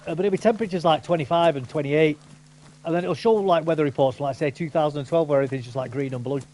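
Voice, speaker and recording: A man's voice: a little high, racing, darkish tone. A man chatting casually with a friend while gaming, rambling and opinionated with many restarts. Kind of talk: casual chat over gameplay.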